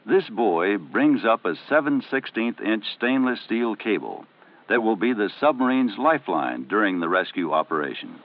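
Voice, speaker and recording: Deep, expressive male narrator on old film. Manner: formal, measured, explanatory. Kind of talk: scripted training film narration. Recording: muffled, noisy 1970 film soundtrack.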